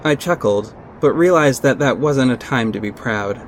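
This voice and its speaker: A man's voice: mid-range voice, expressive, moderate pace, noisy background, close to an everyday voice. A man playing a confident vigilante detective who narrates his own story in an earnest, dramatic audio drama style. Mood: soft, reassuring. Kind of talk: first-person audio drama narration with acted dialogue.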